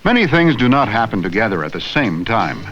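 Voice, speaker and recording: A man narrates an old classroom film in a slow, clear, teacherly way, his pitch rising and falling with plenty of movement. The sound is dull and muffled, like an old film soundtrack. Soft music runs faintly underneath. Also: very animated. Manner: slow, clear, teacherly delivery with questions to the viewer. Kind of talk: scripted educational narration.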